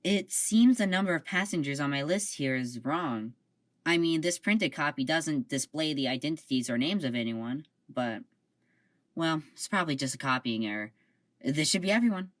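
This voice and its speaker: A woman's voice: low voice, animated, normal speed. A woman voicing a hesitant, polite young officer who trips over her words in an amateur audio drama. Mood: flustered.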